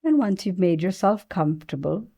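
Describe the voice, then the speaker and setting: A woman leading a guided meditation in a soothing, gentle manner, with long unhurried pauses. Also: on the low side, big pitch swings, slightly rough.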